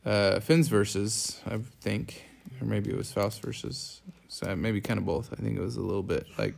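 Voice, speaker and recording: A middle-aged man with a low, creaky voice talks through scripture in a casual discussion. He thinks aloud haltingly as he explains. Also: darkish tone. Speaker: family scripture reading host.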